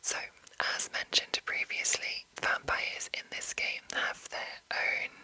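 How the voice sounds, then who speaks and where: A woman whispering in a soft, breathy, unvoiced voice as an ASMR host, rambling gently and reading passages aloud. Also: slow, rough recording. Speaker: ASMR host introducing a roleplaying game.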